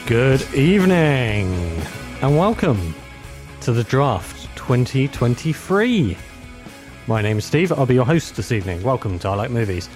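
Sniffly man hosting a relaxed podcast. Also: medium pitch, measured pace, music in the background. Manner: relaxed, wry, hosting with light jokes. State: slight head cold, sniffly. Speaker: podcast host. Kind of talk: casual podcast chat.

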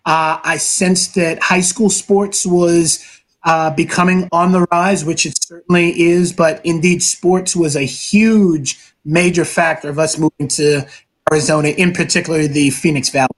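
Guest spot: high-pitched male sports broadcaster, talkative, earnest, a few "uh"s. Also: fairly even intonation. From New York City, Brooklyn. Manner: talkative, earnest, measured with filler pauses. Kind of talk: casual interview answers.

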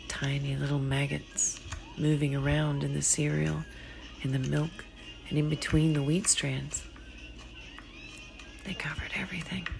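A woman with a low, gravelly voice reads a short story over clear background music. She is very unhurried and deliberate, with a hushed, dramatic delivery that often slips toward a whisper. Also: poor audio. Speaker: author reading own short story. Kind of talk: slow, dramatic literary storytelling. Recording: music behind the voice.

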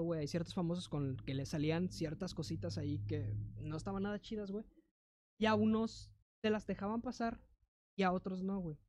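A young adult with a Mexican accent talks in a loose, slangy way, full of fillers and restarts. The pitch is medium and the recording is dry and close. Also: dark tone, no echo. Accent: Mexican Spanish. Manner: slangy and rambling, filler words, frequent restarts. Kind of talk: casual chat.

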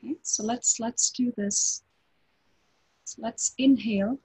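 A woman teaching a guided breathing meditation in a slow, calm, instructive way. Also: a little high, breathy edge, rough recording.

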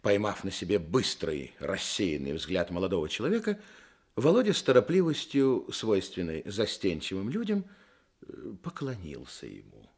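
Lively, expressive male storyteller. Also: deep voice, normal speed, husky. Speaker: narrator. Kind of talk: scripted storytelling narration for a radio play.

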